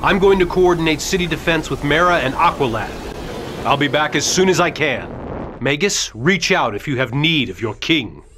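An actor playing an earnest, commanding, steady undersea king in a video game. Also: on the high side, normal speed, noisy background, lightly acted. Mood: urgent, commanding. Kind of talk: video game hero acting.

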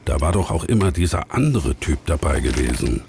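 A man performing as the brooding narrator of a dark thriller audio drama, with a very deep, gravelly voice. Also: very animated, fairly quick, noisy background, heavily acted.

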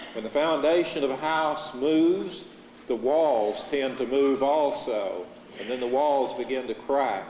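A middle-aged American man preaching a sermon from a church pulpit, reading Scripture in a measured, earnest way. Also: mid-range voice, a little mellow. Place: church sanctuary, at a pulpit before a curtain. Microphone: lapel mic. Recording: echoey church hall, faint room noise.

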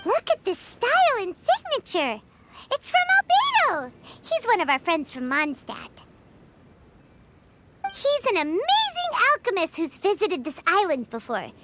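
A woman voicing a small, excitable, squeaky childlike fairy companion in a video game. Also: measured pace, heavily acted. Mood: excited. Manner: speaks of self in third person. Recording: noisy game audio mix.

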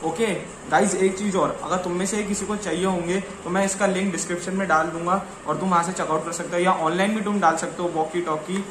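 A man's voice: high voice, fairly even intonation, fast, few pauses. A young man chatting casually to camera in an informal, slangy way, often repeating himself. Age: young adult. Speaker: vlogger. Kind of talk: casual chat to camera, Hindi mixed with English. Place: small living room, later outdoors on open ground. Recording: roomy echo, clear background noise.

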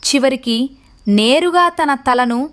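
Woman, children's story narrator, high pitch, scripted delivery, faint background noise. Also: expressive, slow.

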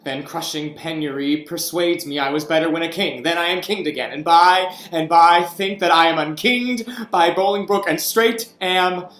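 A young man playing a deposed, imprisoned king, speaking slowly and dropping to whispers between bursts of anger. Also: a little high, roomy sound, soft background music, lightly acted. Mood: brooding. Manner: slow, swings from hushed whispers to outbursts. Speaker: actor in a staged reading. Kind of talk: Shakespeare reading over video call. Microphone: video call webcam.

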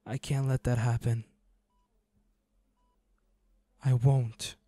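Guy playing a devoted hunter boyfriend in an audio roleplay, really slow and mellow, halting and pleading, dry close sound. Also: medium pitch, little pitch movement, dry recording, lightly acted. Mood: grimly vengeful. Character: devoted, grieving young male hunter, werewolf's boyfriend. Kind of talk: intimate dramatic monologue to an injured lover.

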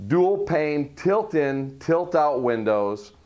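A man's voice: on the high side, very animated, slow pace, a little mellow, highs cut off. A middle-aged man leading a walkthrough tour of a model home, listing its features in a salesy way. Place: furnished model home, indoors.

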